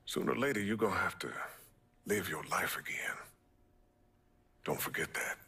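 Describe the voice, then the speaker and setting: Game mentor: middle-aged leader, low-pitched, gravelly, bright-edged, wry and fatherly. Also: animated, normal speed, slight background noise, heavily acted. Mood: earnest advice. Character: fatherly, wry older male Southern community leader.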